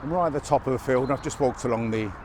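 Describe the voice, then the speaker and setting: A man's voice: mid-range voice, rapid-fire. A man on a walking vlog, chatting casually as he goes, a bit chesty from a cold and slightly out of breath, on a chest-worn mic outdoors. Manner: chatty, wry, a little out of breath. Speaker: walking vlogger. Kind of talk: casual walk-and-talk narration. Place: outdoors by a busy motorway in winter fields. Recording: outdoor mic with faint traffic noise.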